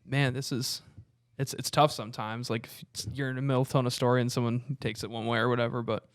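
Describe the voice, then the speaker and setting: Man, medium pitch, casual podcast co-host, halting informal storyteller, dry close recording. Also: flat delivery, clear, resonant tone, no room sound.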